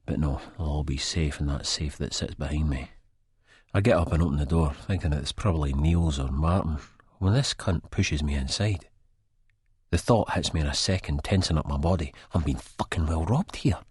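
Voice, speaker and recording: A Scottish man reading a first-person story in a colloquial, confiding, conversational way, with a husky voice. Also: extremely low pitch, moderate pace, a little mellow, no room sound, clean studio sound. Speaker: audiobook narrator. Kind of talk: dramatic first-person scripted narration.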